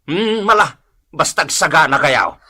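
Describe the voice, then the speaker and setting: Casting: male actor as a scolding Ilocano village chief, forceful bright voice, impatient questions, lively comedy radio drama swings. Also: on the high side, unhurried, breathy edge, full character voice. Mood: stern. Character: exasperated scolding male barangay captain.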